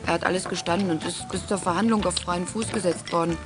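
An actress playing a calm, reassuring adult passing on news in a children's audio drama, in an informative way. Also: female voice, lowish voice, fast, over music, lightly acted.

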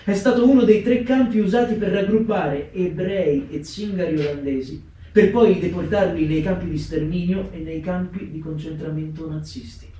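An actor playing a sober narrator, reading scripted history on stage in a measured, solemn way. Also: male voice, high voice, warm tone, close to an everyday voice. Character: sober male narrator recounting wartime history. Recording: very echoey room, distant mic.

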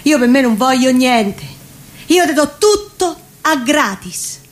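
A woman with a Roman accent reciting a theatrical spoken-word monologue, deliberately, phrase by phrase. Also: high-pitched, animated. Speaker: reciting performer. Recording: faint ambient background noise.

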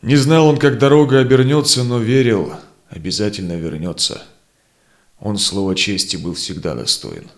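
A man acting a seasoned, honorable Cossack explorer in a verse audio drama, with solemn, declamatory, measured delivery. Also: lowish voice, lightly acted. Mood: solemn, proud. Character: seasoned Cossack ataman explorer, honorable, sorrowful, longing for home.

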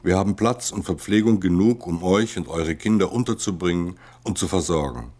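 A man reading a scripted message in a measured, formal way. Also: low voice, darkish tone.

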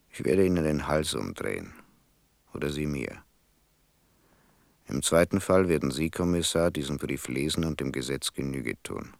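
Grim old-school crook reading his confession, super low creaky voice, flat matter-of-fact, radio drama. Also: dry recording, heavily acted. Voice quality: very deep, gravelly. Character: hardened ex-convict robber, grimly confessing in a letter. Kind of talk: radio drama letter monologue.

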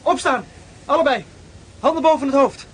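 An actor playing a gruff guard soldier who barks orders in a radio play. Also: male voice, very high-pitched, normal speed. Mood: barking. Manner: barking, shouting orders. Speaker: camp guard. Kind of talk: radio drama acting.

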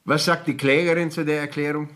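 A man's voice: a little high, level tone, a little fast, a bit bright, natural voice. A man playing a stern, formal court official in a radio play, probing and controlled, speaking with authority. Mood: firm. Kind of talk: radio drama acting.

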